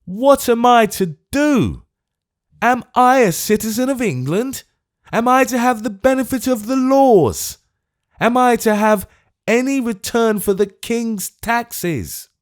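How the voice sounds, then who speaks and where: Shrill old gentleman, choleric, frantic, pleading, very high, audiobook character. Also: animated, a little slow, few pauses. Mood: pleading, indignant.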